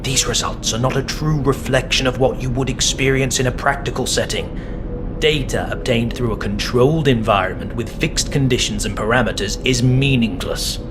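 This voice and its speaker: A man's voice: medium pitch, natural voice. Man acting as cold, exacting military scientist over music. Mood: cold, lecturing. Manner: measured, lecturing, condescending. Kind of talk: scripted video game voice acting. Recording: music behind the voice.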